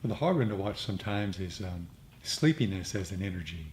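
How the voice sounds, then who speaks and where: Low-voiced man leading meditation, noisy recording. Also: expressive, a little fast, a little mellow. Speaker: meditation group leader. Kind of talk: informal group discussion and guided meditation. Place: indoor meditation group meeting. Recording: clearly noisy room recording.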